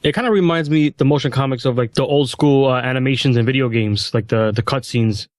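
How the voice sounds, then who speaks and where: A man's voice: mid-range voice, fairly quick, no echo, clean studio sound. A man speaking casually as a panelist on a podcast. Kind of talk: casual chat.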